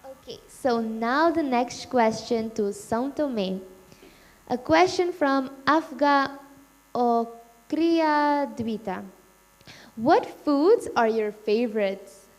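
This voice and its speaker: A nineteen-year-old woman from Suriname introducing herself, then reading out fan questions with halting pauses over names. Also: fairly high pitch. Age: teens. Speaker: pageant contestant and question moderator.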